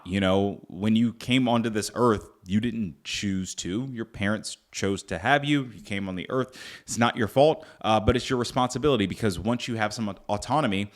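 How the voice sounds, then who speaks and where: A young man from Northern Virginia hosting a solo podcast, earnest and reflective, with frequent fillers and restarts. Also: lowish voice. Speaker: podcast host. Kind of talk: casual solo podcast talk.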